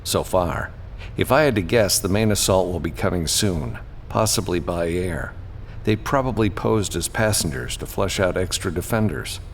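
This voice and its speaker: Man acting gruff freelance gunman, gravelly, clipped commanding lines, audiobook character, faint background noise. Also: low voice, animated, normal speed, long reverb tail. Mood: terse, alert. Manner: terse, gruff.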